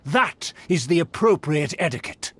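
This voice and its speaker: A man's voice: on the high side, animated, unhurried, fairly bright tone, husky, exaggerated voice. An actor playing a haughty, pompous nobleman in a video game, speaking in florid, condescending, formal phrases. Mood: haughty, scolding. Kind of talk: theatrical video game dialogue.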